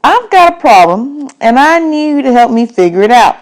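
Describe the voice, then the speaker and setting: A woman voicing a friendly, teasing, slightly nervous man in a children's novel read aloud, with drawn-out, folksy, emphatic phrasing. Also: high voice, very animated, pitched above the performer's own voice. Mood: firm, coaxing.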